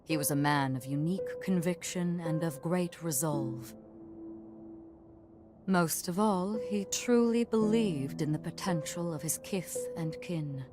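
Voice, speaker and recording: An actress playing a solemn, composed woman who guides a hero in a video game, speaking formally and earnestly in measured phrases. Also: low voice, over music. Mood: solemn, respectful. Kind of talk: dramatic video game acting.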